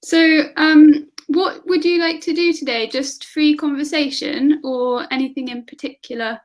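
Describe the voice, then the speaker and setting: High-pitched woman tutoring on a call. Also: steady tone, measured pace. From England. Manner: asks lots of questions, laughs often. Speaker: online English tutor. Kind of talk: casual conversation lesson. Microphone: video call.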